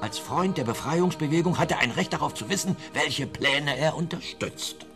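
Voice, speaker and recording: A man's voice: a little high, animated, moderate pace, over music. An actor playing an evasive tailor turned informant in a German radio play, telling his story in a hesitant, ingratiating, roundabout way. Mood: eager storytelling.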